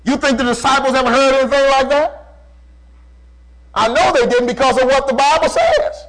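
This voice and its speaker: A male preacher with a very high-pitched voice delivers a fervent sermon. He leans hard on key phrases, repeats them, and keeps putting rhetorical questions to his listeners. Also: animated, normal speed, heavy background noise. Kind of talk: fervent call-and-response sermon.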